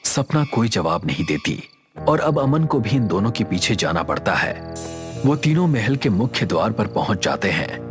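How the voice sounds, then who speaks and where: A man's voice: fairly low pitch, sing-song, moderate pace, music in the background. A man narrating a scripted horror story.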